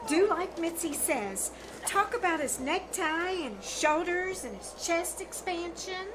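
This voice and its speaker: Woman playing high, bouncy teenage matchmaker niece. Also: expressive, slow pace, exaggerated voice. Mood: eager. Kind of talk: old-time radio comedy acting. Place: small theater stage, live performance. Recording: very noisy live stage recording.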